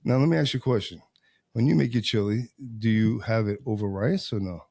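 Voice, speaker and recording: A man co-hosting a casual podcast, teasing his friends and firing quick questions at them. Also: lowish voice, vocal fry, mellow, no echo, studio quality. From Puerto Rican family background. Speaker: podcast co-host. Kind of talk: casual chat.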